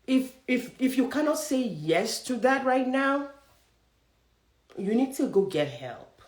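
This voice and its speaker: A young Nigerian woman with a Nigerian accent, giving a forceful, preachy motivational career talk that challenges her listeners directly. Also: high-pitched, very animated, slow, echoey room. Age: young adult. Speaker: career coach.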